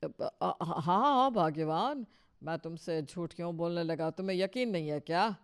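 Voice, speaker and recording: A woman's voice: lowish voice, expressive, moderate pace, clean tone. Woman doing a meek, hesitant middle-aged husband. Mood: nervous. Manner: hesitant, soothing.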